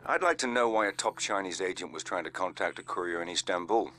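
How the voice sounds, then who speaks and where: An actor playing a cool, terse British secret agent in a video game, with a British accent and a clipped, dry delivery. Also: male voice, low-pitched, expressive, fast, sharp tone, soft background music. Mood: cool, wry. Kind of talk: dramatic video game dialogue.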